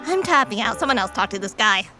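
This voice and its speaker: A woman's voice: mid-range voice, animated, quick pace, bright, forceful tone, soft background music. A woman voicing a practical, fed-up young girl in a cartoon parody, sarcastic and impatient. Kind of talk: comedic animated parody voice acting.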